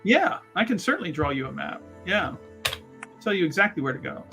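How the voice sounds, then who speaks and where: A man's voice: sits high, big pitch swings, a little fast, creaky, music in the background. A man playing a tabletop roleplaying game, speaking in character with boastful, laughing banter.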